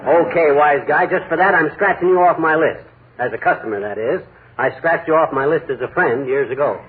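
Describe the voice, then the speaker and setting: Cocky goofball husband, bit high voice, old tinny radio sound. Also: male voice, brisk, slightly rough. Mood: brash. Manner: brash, wisecracking. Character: boastful bumbling middle-aged husband. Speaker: comic lead of a radio sitcom. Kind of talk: 1940s radio comedy acting. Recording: noisy 1940s radio broadcast, lo-fi.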